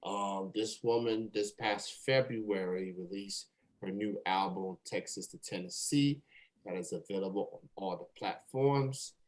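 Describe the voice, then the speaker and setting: A man hosting a casual online interview, talking in a halting way and repeating words. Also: fairly low pitch, rough recording. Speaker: show host interviewer.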